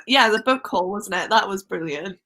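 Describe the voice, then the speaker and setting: Bright-voiced young Welsh woman. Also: mid-range voice, moderate pace. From Wales. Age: young adult. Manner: chatty and excitable. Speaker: host. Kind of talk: casual live-stream chat.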